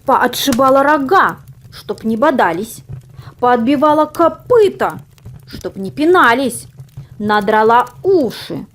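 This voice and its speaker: Animated high-voiced woman telling a fairy tale. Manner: theatrical, drawn-out emphasis. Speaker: storyteller reading an audio fairy tale. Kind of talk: expressive scripted children's story narration.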